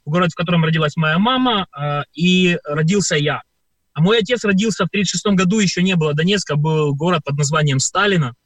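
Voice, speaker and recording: A man's voice: a little high, quick pace, fairly bright tone, no room sound. A man from Ukraine, a performer, answering radio interview questions at length with many hesitant filler sounds. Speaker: performer and guest.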